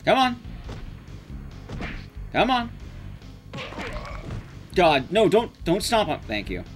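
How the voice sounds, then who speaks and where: Young guy streaming a game, high swoopy voice, swears a lot, faint music behind. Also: huge pitch swings, slow pace. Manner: sweary, self-deprecating. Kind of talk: casual live gameplay commentary. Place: small room at home, game sound behind.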